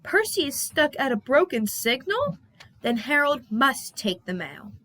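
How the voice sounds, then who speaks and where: A woman voicing a decisive male railway boss from a storybook, brisk and commanding. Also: sits high, very animated, a bit bright, exaggerated voice.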